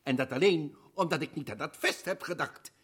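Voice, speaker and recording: An actor playing a shrewd, earnest old professor in a radio play, interrogating and growing excited. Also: male voice, a little high, a little fast, breathy edge. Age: older. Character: shrewd old male professor, eager sleuth.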